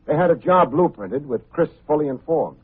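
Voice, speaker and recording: An actor playing a coolly unflappable, wisecracking private investigator in an old radio detective drama, with dry, clipped noir phrasing. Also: male voice, on the high side, expressive, moderate pace, breathy edge. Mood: probing, dry. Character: wry, hard-boiled male private investigator, coolly unflappable. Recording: muffled phone-like vintage radio recording.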